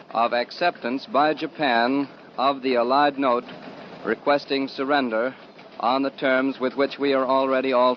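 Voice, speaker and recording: A man reading a formal 1940s radio news bulletin in a deliberate manner. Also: medium pitch. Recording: muffled, noisy 1940s radio recording.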